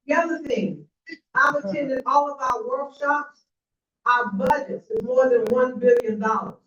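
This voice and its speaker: A woman's voice: high voice, unhurried, a bit bright. A middle-aged woman, a county commissioner, giving a confident re-election speech and bantering with the audience. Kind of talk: campaign speech at a forum. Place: community meeting room. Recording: echoey, distant and dull room recording.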